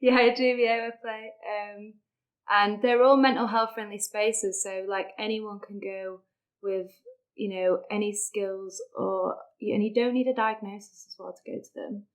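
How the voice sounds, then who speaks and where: A woman's voice: medium pitch, steady tone, unhurried, poor audio. A young adult woman with a Sheffield accent, chatting casually as a podcast guest, with lots of fillers. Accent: Sheffield English. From Sheffield, England. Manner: chatty, many fillers and likes. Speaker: charity deputy director, podcast guest. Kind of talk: casual interview chat.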